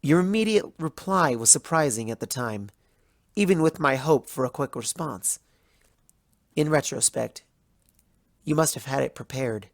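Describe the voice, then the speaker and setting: Creaky-voiced, wounded young mare acted by a narrator. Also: medium pitch, no room sound, clean studio sound, natural voice. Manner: measured, dramatic, wounded, accusatory delivery. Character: betrayed, bitter young female pony student, intellectual and hurt. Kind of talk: dramatic fan fiction reading as first-person monologue.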